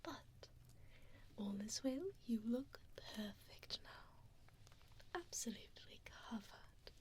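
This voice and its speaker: A woman playing an evil, condescending female vampire in an ASMR roleplay, whispering breathily and close to a binaural microphone with soft, teasing menace. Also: on the high side, animated, slow. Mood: doting, focused. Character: evil mean female vampire preparing a human as meal. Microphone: close binaural 3Dio microphone.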